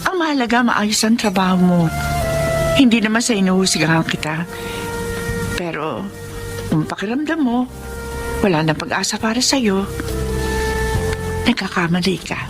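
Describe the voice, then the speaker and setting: A woman's voice: medium pitch, animated, moderate pace, pauses often, lightly acted. An actress with a Filipino accent playing a kindly, earnest young mother in a radio drama. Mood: encouraging, earnest. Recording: music bed behind the voice.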